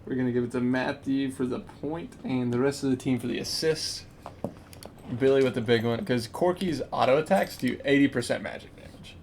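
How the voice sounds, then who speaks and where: Male host, average pitch, casual and explanatory with some hesitation, background noise. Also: a little fast, a little mellow. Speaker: podcast quiz host. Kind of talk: casual group chat.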